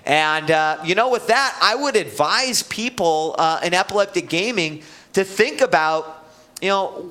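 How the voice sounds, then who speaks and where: A man's voice: a little high, bright, forceful tone. A man hosting a live gaming web show, casually reviewing a computer mouse with lots of fillers and restarts.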